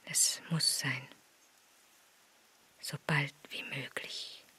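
Radio play wife, middle-aged, anxious and reserved: very breathy, slow, tense edge. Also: female voice, rumbling low, fairly even intonation, pushed and bright, heavily acted. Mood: anxious, confiding. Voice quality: breathy, half-whispered. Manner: hesitant, strained, pleading.

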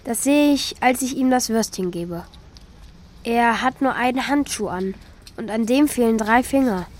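Earnest schoolkid lead for children's radio play, woman performer, high voice, thoughtful and kind-hearted. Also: measured pace, darkish tone, lightly acted. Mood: thoughtful narration. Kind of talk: German children's radio play acting and narration.

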